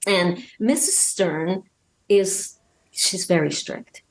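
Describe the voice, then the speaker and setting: A woman's voice: fairly low pitch, lively intonation, slow pace, poor audio. A woman speaking as an interview guest, explaining things casually with hesitant phrasing. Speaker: guest, voice actor and scriptwriter.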